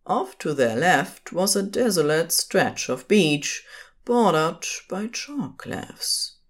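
A woman's voice: on the low side, very animated, takes it slowly. A woman reading scripted fiction as the narrator of an audio drama.

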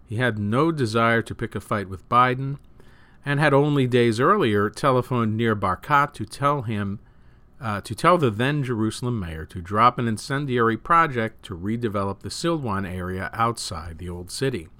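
A man reading news and opinion articles aloud as a host, with measured, emphatic phrasing that falls away at sentence ends. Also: mid-range voice, bright, forceful tone, no echo. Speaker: news reader and show host.

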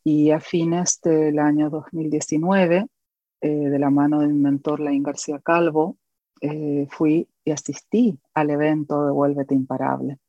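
A woman from Argentina with an Argentine accent, a guest educator and author speaking earnestly in an interview, pausing often with fillers. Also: sits low, little pitch movement, warm tone, no room sound, muffled recording. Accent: Argentine Spanish. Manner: earnest, reflective, with many pauses and fillers.

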